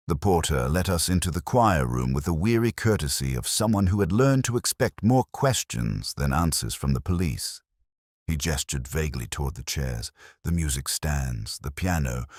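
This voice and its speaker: A middle-aged man reading a first-person detective story in a measured, brooding, literary manner. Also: rumbling low, no echo, studio quality. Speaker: narrator.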